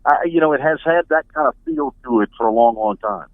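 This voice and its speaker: A middle-aged man speaks over a slightly muffled, dry phone connection. He has a mid-pitched voice and talks like a radio sports announcer being interviewed, conversational and analytical. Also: animated, a little fast, vocal fry, dry recording. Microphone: telephone. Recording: band-limited phone line.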